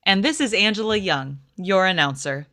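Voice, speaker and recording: A woman's voice: mid-range voice, lively intonation, moderate pace, sharp tone, slight background noise. A woman announcer reading scripted credits in a formal, proclaiming 1950s network radio style.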